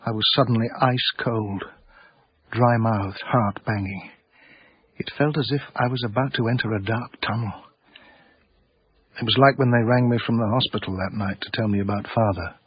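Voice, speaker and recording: An actor playing a middle-aged, melancholy art dealer, narrating a radio drama in a measured, restrained, formal way. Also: male voice, fairly low pitch, steady tone, breathy edge. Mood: brooding. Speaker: narrator and lead character. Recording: slightly dull radio recording, roomy.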